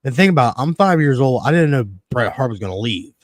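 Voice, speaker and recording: Guy early 30s on a wrestling podcast, swears a lot, rambly stories, mid pitch voice. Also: fast, no echo, studio quality. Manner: foul-mouthed, animated storytelling with quoted reactions. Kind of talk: casual chat, ranting and storytelling.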